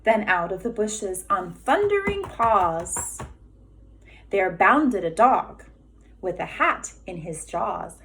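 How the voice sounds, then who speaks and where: A woman kindergarten teacher reading a children's storybook aloud expressively, deliberate and emphatic, pausing for questions. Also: medium pitch, very animated, fairly bright tone, slight background noise, low-quality recording.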